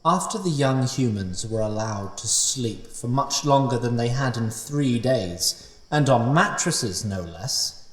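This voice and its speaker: Expressive male fantasy narrator. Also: mid-range voice. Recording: roomy, slightly distant mic.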